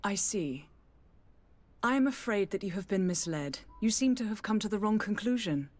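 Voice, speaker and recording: A woman's voice: mid-range voice, animated, normal speed, natural voice. A woman playing a haughty, guarded noble lady in a period fantasy video game, formal and easily indignant. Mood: defensive, indignant.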